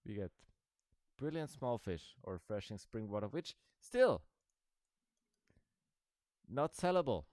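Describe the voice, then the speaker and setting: A man's voice: lowish voice, big pitch swings, takes it slowly, long pauses, darkish tone, no echo. A man giving casual, rambling commentary over a game he is playing, with dry, sarcastic asides. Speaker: gaming video creator.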